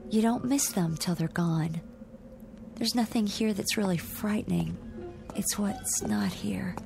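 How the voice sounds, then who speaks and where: A woman's voice: medium pitch, measured pace, gravelly, music in the background. An actress playing an anxious young woman stranded in an eerie empty town in a radio drama, speaking naturally and hesitantly. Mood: uneasy.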